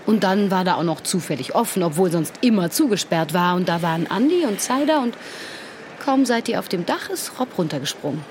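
A woman's voice: fairly low pitch, expressive, brisk, darkish tone, slight background noise, lightly acted. Actress as a persistent, sceptical woman questioner. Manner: probing, insistent questioning. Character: persistent, sceptical adult female investigator questioning a boy. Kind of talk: naturalistic German radio drama acting.

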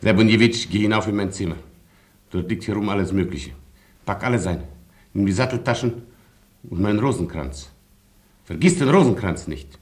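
An actor in a radio drama playing a proud, stoic colonel with a terse, formal manner. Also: male voice, fairly low pitch, lively intonation, normal speed, pauses often, close to an everyday voice. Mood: brisk, commanding. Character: proud, stoic Polish male colonel, gallant and commanding.

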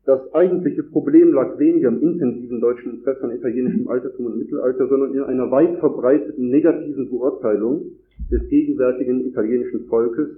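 A man with a cold gives a formal academic lecture at a conference, reading long, measured sentences from a script. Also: medium pitch. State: has a cold. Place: conference hall. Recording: echoey hall over a tinny, phone-like line.

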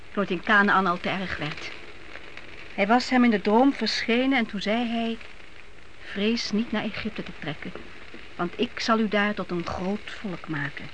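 A woman's voice: medium pitch, a bit bright, close to an everyday voice. An actress playing a devout middle-aged mother of grown sons from biblical times in a radio play, earnest and motherly. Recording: noisy old radio play recording.

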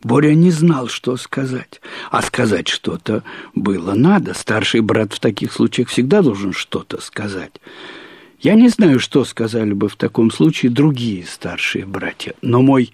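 A man with a medium-pitched, slightly rough voice narrates a literary tale. He speaks deliberately, with wry humour and measured pauses. The sound is dry, with faint music behind him. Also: sing-song, a little mellow, dry recording. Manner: deliberate, wryly humorous, with repetitions and pauses. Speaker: narrator reading a short story. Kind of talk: literary first-person storytelling.

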